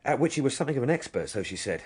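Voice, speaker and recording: Brisk, earnest police sergeant. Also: male voice, medium pitch, sing-song, darkish tone, slightly rough. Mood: matter-of-fact. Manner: deferential, matter-of-fact. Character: dutiful, earnest male police subordinate. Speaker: police sergeant, assistant to detective. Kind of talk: radio drama acting.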